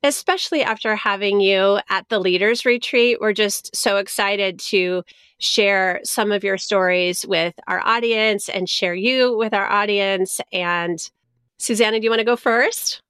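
A woman's voice: mid-range voice, measured pace, clean tone, dry recording. A woman co-hosting a podcast, greeting her guest with gushing enthusiasm. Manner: warm, gushing welcome. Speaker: podcast co-host.

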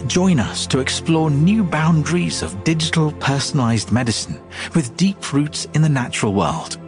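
A man reading a scripted promotional narration in a smooth, earnest delivery. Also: a little high, moderate pace, over music. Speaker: promo voice-over.

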